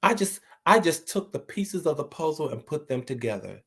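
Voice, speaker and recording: A man's voice: on the high side, sing-song, creaky, a bit bright, husky. Earnest man reciting his own poetry. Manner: earnest, encouraging, repeats words while searching for them; slow, measured recital when reading the poem. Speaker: poet and channel host. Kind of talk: talk to viewers, then poetry reading.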